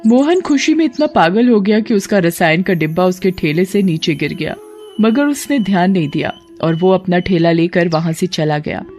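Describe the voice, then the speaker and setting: A woman's voice: lowish voice, expressive, normal speed, a little mellow, faint music behind. Woman narrating a cartoon story.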